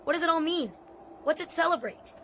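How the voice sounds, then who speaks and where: A performer playing a curious, excitable young boy in a dramatized audiobook, eager and puzzled in questioning. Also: very high voice, brisk. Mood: baffled wonder. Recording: clear background noise and ambience.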